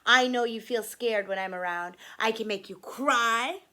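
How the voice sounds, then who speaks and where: A woman's voice: fairly high pitch, unhurried, pushed and bright. Woman acting an insistent, coaxing anger creature. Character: hairy red creature, a boy's anger personified, coaxing.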